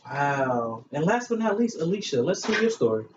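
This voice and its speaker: A man's voice: on the high side, very animated, normal speed. A man playing a gushing, chatty talk show host in a staged amateur show. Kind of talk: hosting a staged student-made talk show. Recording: echoey, muffled room recording with hiss.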